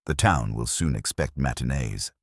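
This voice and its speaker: A man voicing a composed, proud, sharp-tongued woman suspect in a mystery story, speaking formally and sardonically. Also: a bass voice, moderate pace, breathy edge, no echo, studio quality, exaggerated voice. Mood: cool, wry.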